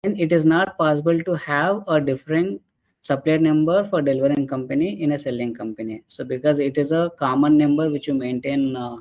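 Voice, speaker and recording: A man presenting an explanatory software training webinar in a halting way, often checking in with short tag questions. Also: a little high. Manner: halting, repeatedly checks with "right?" and "okay". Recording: dull lo-fi webinar audio.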